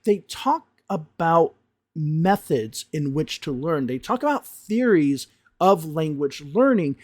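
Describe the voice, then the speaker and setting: A man with a slightly high voice talks like a teacher, explaining with emphasis and lively punches on key words. His voice sounds dry and close, with no room sound around it. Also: no room sound. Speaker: English teacher reacting to a video. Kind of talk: reaction commentary.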